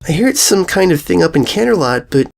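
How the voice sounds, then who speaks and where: A man's voice: high voice, sing-song, quick pace, gravelly, pitched above the performer's own voice, heavily acted. A man voicing a timid young female pony who speaks in a hesitant, stammering, apologetic way.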